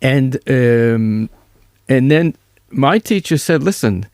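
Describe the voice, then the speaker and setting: A man with an American accent telling anecdotes casually as an interview guest, in halting phrases that often restart. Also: medium pitch, animated, a little mellow, no room sound, studio quality. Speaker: musician and music educator, interview guest.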